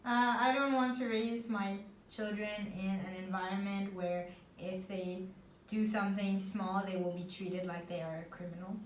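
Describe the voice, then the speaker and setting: A woman's voice: medium pitch, little pitch movement, normal speed. Woman, twenties, from Egypt; plain brief interviewee, roomy distant recording with faint noise. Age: 20s. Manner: short, plain answers. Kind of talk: casual interview answers.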